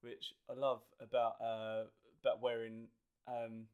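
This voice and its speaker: Rambling Essex-accented podcast host. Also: male voice, medium pitch, monotone, unhurried, clean tone, narrow, tinny audio. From Essex, England. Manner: rambling, self-deprecating, stumbles over words. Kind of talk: casual podcast chat.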